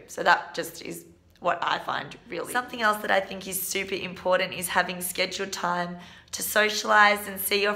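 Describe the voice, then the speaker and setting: A woman in her twenties, a teacher, hoarse today, giving earnest, practical advice in a casual vlog. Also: lowish voice, bright, forceful tone. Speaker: primary school teacher and vlog host.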